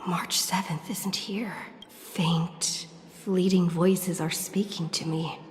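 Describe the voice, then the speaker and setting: Anxious, resolute young heroine for a fantasy game: lowish, slow, hushed inner monologue with long reverb. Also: female voice, steady tone, a little hiss or hum. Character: anxious, determined young heroine, thinking to herself. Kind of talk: video game voice acting.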